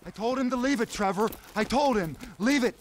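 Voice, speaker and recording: An actor playing a nervous, cowering middle-aged sidekick in a game, pleading anxiously. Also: male voice, very high-pitched, expressive, fairly quick. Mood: panicky. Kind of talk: video game cutscene acting.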